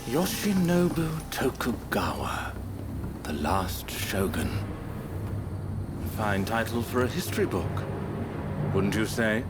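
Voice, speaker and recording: A man's voice: fairly low pitch, very animated, takes it slowly, pauses often. An actor playing a cold, scheming man with grand ambitions in a video game cutscene, theatrical and menacing. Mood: menacing, smug. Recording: loud outdoor noise behind.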